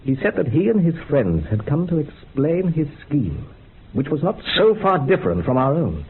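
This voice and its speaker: An actor playing a genteel experimenter in a radio drama, describing grisly visions in a measured, literary, matter-of-fact way. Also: male voice, a little high, very animated, breathy edge, exaggerated voice. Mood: calm, entranced. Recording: muffled narrow-band radio recording, background hiss.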